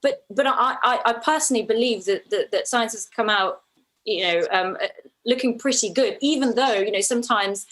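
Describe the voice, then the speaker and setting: A woman's voice: fairly high pitch, moderate pace, fairly bright tone. A woman from Ukraine speaking as an interview guest, a documentary filmmaker who answers conversationally and thoughtfully, often restarting her phrases.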